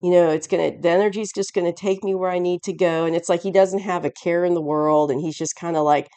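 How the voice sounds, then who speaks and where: A tarot reader talks through a weekly spread in a loose, conversational way. The voice is raspy and hoarse, thick with a head cold. Thoughts trail off and restart, often with a small chuckle. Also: mid-range voice, steady tone, quick pace, dark tone. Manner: rambling, searching for words with many restarts; chuckles often while explaining. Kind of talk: casual weekly tarot reading.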